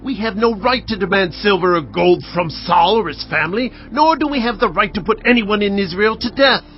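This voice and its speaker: A man's voice: high voice, expressive, brisk. An actor playing the aggrieved spokesman of a persecuted ancient people in a dramatized audio Bible, insistent and declamatory. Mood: bitter. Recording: dull band-limited audio with music bed.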